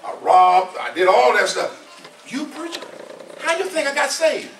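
A man's voice: very high-pitched, very animated, moderate pace, slight background noise. A man of seventy preaching an informal sermon, repeating his points with emphasis in a conversational way. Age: 70s. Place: small church hall. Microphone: far camera. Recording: echoey room from a far camera.

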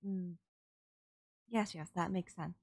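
A woman voicing a polite, puzzled, emotionless female servant robot in an audio roleplay, with formal, halting, literal phrasing. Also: lowish voice, fairly even intonation, creaky, darkish tone, breathy edge, no room sound, studio quality, heavily acted. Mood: confused, inquiring. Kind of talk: scripted audio roleplay, ASMR.

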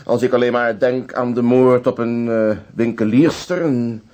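An actor playing a genial police inspector in a radio drama, amused and schoolmasterly, with hesitant asides. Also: male voice, medium pitch, fairly even intonation, normal speed, a little mellow, natural voice. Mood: amused, teasing. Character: genial, teasing police inspector telling old cases.

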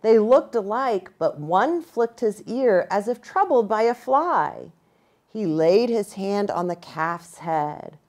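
A middle-aged American woman narrating a children's storybook in an expressive, deliberate way. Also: mid-range voice. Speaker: pastor reading to children. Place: small furnished room, indoors.

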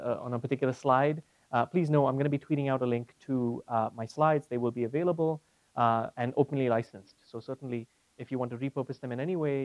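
A man's voice: mid-range voice, a little mellow, no echo, rough recording. A man giving a conversational, articulate lecture as a guest academic speaker. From India (Bombay). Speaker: guest speaker, university psychology professor. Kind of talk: conference lecture with personal storytelling. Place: university classroom, speaking to a small seated audience.

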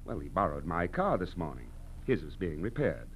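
Fussy, animated hotel manager. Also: male voice, deep voice, normal speed, husky, exaggerated voice. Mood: flustered. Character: genteel, fussy male hotel manager, trusting and flustered. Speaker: actor playing a hotel manager. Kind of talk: 1940s radio acting. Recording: hissy old radio recording, hum.

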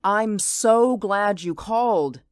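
A woman's voice: medium pitch, takes it slowly, dry recording, studio quality, lightly acted. A woman playing an indulgent, mildly uneasy housewife and mother in an audio drama, polite and a little hesitant. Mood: pleasant.

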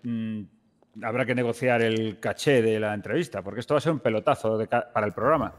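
Un hombre con acento de España interpretando en un juego de rol a un mánager fanfarrón y oportunista que insiste y repite frases con grandilocuencia. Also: male voice, on the low side, brisk, long reverb tail, close to an everyday voice. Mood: insistent. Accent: Spanish (Spain). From Spain. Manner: insistent, grandiose, repeats phrases. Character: brash, scheming male talent manager seeking TV fame. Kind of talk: improvised online roleplay.

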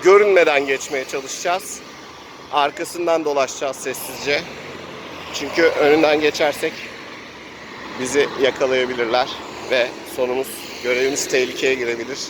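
A man's voice: medium pitch, fairly even intonation, normal speed. A man narrating an improvised tabletop adventure as game master, in a theatrical storytelling way. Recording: noisy outdoor recording with traffic ambience.

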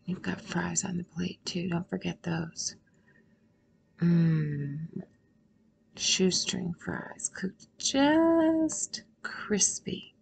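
A woman with a lowish voice leads a guided meditation. She speaks very slowly and soothingly, leaving long pauses. Heavy creak runs through her voice, and phrases often soften into a breathy near-whisper. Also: steady tone.

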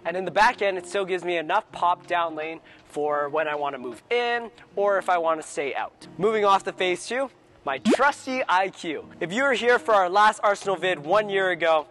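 An upbeat young man with a high voice talks in short, punchy bursts about his gear. He is on a lapel mic in an indoor bowling alley, with faint music underneath. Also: animated, normal speed, bright, forceful tone. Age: young adult. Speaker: two-handed bowler presenting his arsenal. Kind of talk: casual equipment walkthrough.

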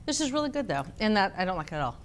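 A woman's voice: medium pitch, very animated, racing, slight background noise. A middle-aged American woman presenting a cooking show in a casual, conversational way. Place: studio kitchen set with audience.

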